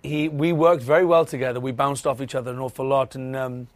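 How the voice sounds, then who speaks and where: A man's voice: medium pitch, fairly even intonation, brisk, no echo. A man with a Welsh accent and a big tenor voice, chatting animatedly as a guest in a podcast interview, laughing often and restarting his sentences. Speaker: actor guest.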